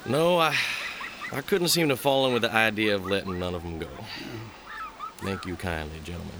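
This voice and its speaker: A man's voice: lowish voice, moderate pace, background noise, close to an everyday voice. An actor playing a plainspoken backwoods father in a radio drama, folksy, hesitant and politely firm. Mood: polite refusal.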